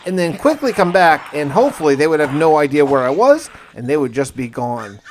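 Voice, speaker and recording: A man narrating a survival game diary in the first person, conversational and musing, with wry asides. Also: fairly high pitch. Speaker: gaming video narrator. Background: music.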